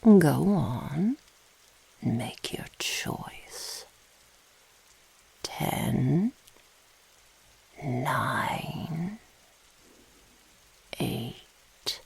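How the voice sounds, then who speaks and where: Dark mage, menacing, gloating, whispered, heavy creak, drawn-out haughty delivery, fantasy audio roleplay. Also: female voice, very deep voice, expressive, heavily acted. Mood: taunting, cruel. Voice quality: whispery, gravelly. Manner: slow, haughty, archaic diction. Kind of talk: fantasy ASMR roleplay acting.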